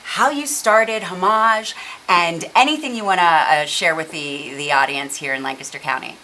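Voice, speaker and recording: A woman's voice: lowish voice, normal speed, bright, forceful tone, slight background noise, rough recording. A woman hosting a local TV interview show, chatty and encouraging with her questions. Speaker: TV show host. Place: local TV studio.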